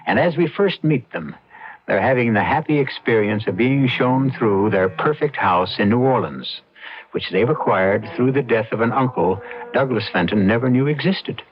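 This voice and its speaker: Measured male radio mystery host, dull vintage sound. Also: mid-range voice, animated. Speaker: host and narrator. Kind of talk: scripted radio drama narration.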